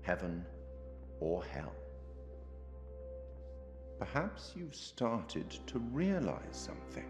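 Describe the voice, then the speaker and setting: An actor playing an enigmatic middle-aged man, delivering a composed, ominous theatrical monologue in a measured way. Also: deep voice, big pitch swings, full character voice. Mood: solemn. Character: enigmatic, composed middle-aged male narrator who taunts softly. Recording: echoey room under music.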